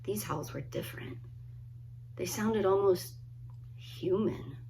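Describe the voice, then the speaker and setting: A woman, a children's author, reading a story aloud expressively, with dramatic pauses and hushed emphasis. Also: medium pitch, vocal fry, a little hiss or hum, poor audio. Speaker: children's book author reading own work. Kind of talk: expressive storybook read-aloud, friendly introduction.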